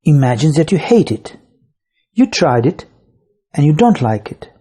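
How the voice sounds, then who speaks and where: A narrator reading an English grammar lesson slowly and deliberately from a script, with example sentences. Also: mid-range voice, very animated, dark tone, husky. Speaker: English teacher.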